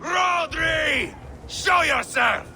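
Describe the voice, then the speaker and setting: Ruthless Viking warlord, gravelly, wildly swooping pitch, boastful, vengeful, video game drama. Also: male voice, lively intonation, a little slow, sharp tone, some chatter behind, a little hiss or hum, exaggerated voice. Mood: furious, taunting.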